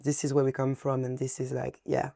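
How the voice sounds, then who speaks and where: A man's voice: medium pitch, completely flat delivery, fairly quick, gravelly, mellow, no room sound, studio quality. A young man with a French accent, a guest chatting casually about food, searching for words as he talks. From France. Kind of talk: casual chat.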